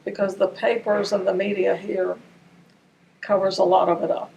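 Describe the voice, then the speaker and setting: Older woman, from Ramallah, Arabic first language, gravelly, flat delivery, distant mic, faint noise. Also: mid-range voice, moderate pace. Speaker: interviewee. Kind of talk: oral history interview.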